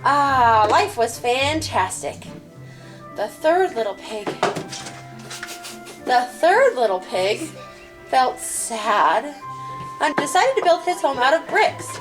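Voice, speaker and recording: A woman hosting and telling a children's story in an expressive storybook style. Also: high-pitched, slow, pauses often. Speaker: host and storyteller. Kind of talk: animated storybook narration for children. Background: music.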